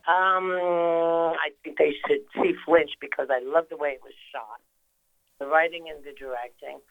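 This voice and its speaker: Older actress chatting on a phone-in. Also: female voice, sits low, fairly even intonation, measured pace, dry recording, phone-quality sound. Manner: chatty, rambling with many fillers and restarts. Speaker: actress guest. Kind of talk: phone-in interview. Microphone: phone call.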